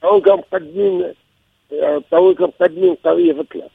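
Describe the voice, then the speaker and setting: A man's voice: high-pitched, very animated, fast talker, vocal fry, breathy edge, dry recording, narrow, tinny audio. A man in his sixties with a Tunisian accent, calling in and telling of trouble in his marriage, emphatic and repeating himself. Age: 60s. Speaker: caller. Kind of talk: recounting a personal marital problem.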